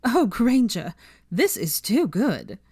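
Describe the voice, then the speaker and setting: A woman's voice: a little high, very animated, slow pace, darkish tone, slightly rough, dry recording, clean studio sound, voice pushed up, full character voice. A woman voicing a haughty, bossy, mischievous young woman in a storybook reading, with an imperious, mocking delivery. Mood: mocking, amused. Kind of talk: dramatised audiobook character dialogue.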